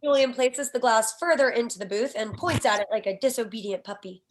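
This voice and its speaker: A woman's voice: fairly high pitch, fairly quick, slight background noise. A woman reading stage directions in scripted screenplay narration.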